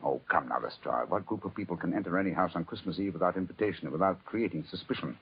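A brisk English detective in an old radio drama. He is low-voiced and very quick, crisp and commanding, on a muffled recording. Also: slight background noise. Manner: crisp, authoritative. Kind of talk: 1940s radio acting.

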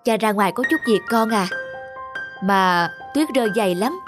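A man voicing a kind, weary, self-sacrificing poor cobbler father in a cartoon, speaking gently and reassuringly. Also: sits high, animated, moderate pace, music in the background. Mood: weary, apologetic. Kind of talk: cartoon drama dubbing.

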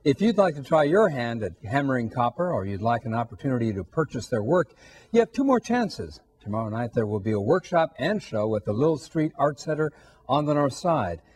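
A man's voice: medium pitch, very animated, brisk, darkish tone, slightly rough. A man anchoring a news program in a TV studio, introducing a story in an upbeat scripted delivery.

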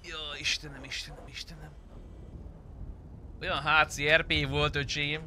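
A man's voice: a little high, level tone, noisy background. A man chatting casually and roleplaying on a game stream, informal and slangy, swearing freely. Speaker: livestreamer playing a roleplay game.

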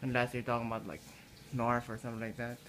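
Male vlogger, everyday mid voice, halting delivery, phone audio in a home with audible room noise. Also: medium pitch, level tone, fairly bright tone. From Philippines. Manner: halting, repeats words and restarts often. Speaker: fan enthusiast vlogger. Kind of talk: casual filmed commentary. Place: indoors in a house kitchen. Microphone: handheld phone camera.

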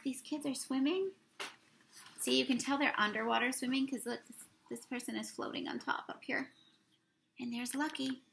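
A woman's voice: high voice, creaky, a bit bright, slight background noise. A young woman reading a picture book aloud to children, expressively drawing out words, talking through the pictures and asking her listeners questions. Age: young adult. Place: small room indoors.

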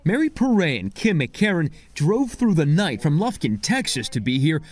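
A man's voice: fairly high pitch, very animated, normal speed. A man reporting a news story as correspondent, with a dramatic, emphatic scripted delivery.

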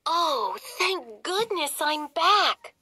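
A woman voicing a small, easily upset princess turned into a mouse, squeaky and dramatic in a storybook reading. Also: very animated, extremely slow, pushed and bright, dry recording, heavily acted. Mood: relieved. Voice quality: squeaky, tiny. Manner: dramatic, plaintive.

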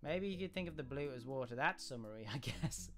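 A young man hosting a casual game livestream. He is chatty and rambling, with a slightly croaky voice. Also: medium pitch, animated, fairly quick, fairly bright tone, soft background music. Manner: chatty, rambling, often restarts and hums. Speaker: gaming livestream host. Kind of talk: casual live commentary. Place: home room. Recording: audible background noise.

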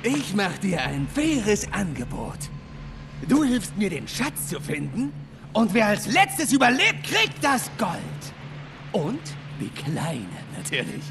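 High-pitched, wildly swinging pirate villain. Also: male voice, wildly swooping pitch, takes it slowly, over music, exaggerated voice. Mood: sly threatening. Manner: taunting, theatrical, coarse. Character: swaggering, volatile male pirate gang boss, menacing, mocking. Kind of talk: cinematic video game villain acting, German dub.

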